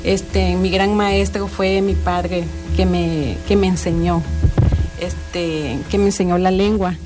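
A woman's voice: fairly low pitch, fairly even intonation, slow, over music. Yocotán-woman, hesitant. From Tabasco, Mexico. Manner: hesitant, frequent fillers. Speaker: adult literacy tutor. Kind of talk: radio interview answers.

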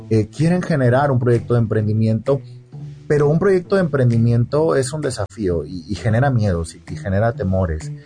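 A man with a Mexican accent hosting a conversational interview, repeating words haltingly as he forms his questions. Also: medium pitch, warm tone. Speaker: interviewer, host.

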